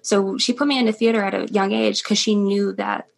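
A woman's voice: mid-range voice, steady tone, brisk, creaky. A young adult woman chatting as an interview guest, talking freely with frequent small laughs and filler words. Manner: chatty, laughs often, many ums. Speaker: interview guest, stage performer. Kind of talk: casual interview chat.

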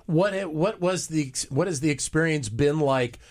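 A male host interviewing guests, halting and often restarting his questions. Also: on the high side.

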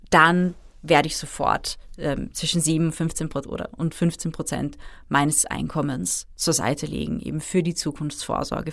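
Woman in her 30s, lowish voice, methodical expert guest, dry close sound. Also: creaky, dry recording. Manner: explanatory, methodical. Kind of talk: interview answers.

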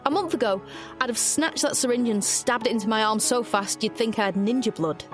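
A woman's voice: on the high side, a little fast, close to an everyday voice. A woman playing a reflective, wry young heroine, narrating her own story intimately in an audio drama. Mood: wry, assured. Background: music.

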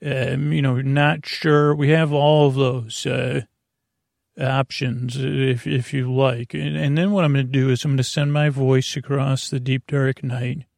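A man with a creaky voice hosting a bedtime podcast, meandering and halting through a soothing monologue full of tangents and self-corrections. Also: medium pitch, level tone, a little mellow, dry recording, clean studio sound. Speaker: podcast host. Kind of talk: rambling bedtime monologue meant to lull listeners to sleep.

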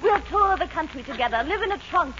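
Scheming theater diva, high voice, florid and gushing; 1940s radio comedy, dulled old recording. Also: female voice, animated, a little fast, fairly bright tone, muffled recording, slight background noise. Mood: grand, persuasive. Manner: grandiose, gushing, melodramatic. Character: scheming theatrical stage actress, gushing seductive flatterer.

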